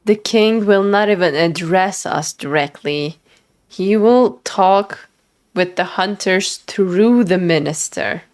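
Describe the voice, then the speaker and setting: Unhurried woman streaming a game. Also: mid-range voice, a little mellow. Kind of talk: casual let's play reactions. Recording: game music behind the voice.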